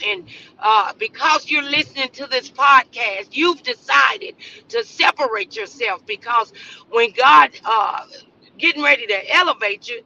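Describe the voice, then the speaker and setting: A woman hosting a motivational faith podcast, speaking off the cuff in an earnest, halting, preacherly way. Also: sits high, creaky, bright, forceful tone, highs cut off. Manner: preacherly, earnest, halting delivery with repeated phrases. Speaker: host of a motivational talk podcast. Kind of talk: inspirational faith talk, loosely improvised.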